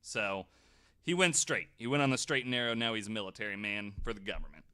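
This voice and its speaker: A man's voice: on the low side, animated, fast talker, pushed and bright, clean tone. A man hosting a podcast, telling a researched story in a casual, jokey, informal way. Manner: informal, swears, jokey asides.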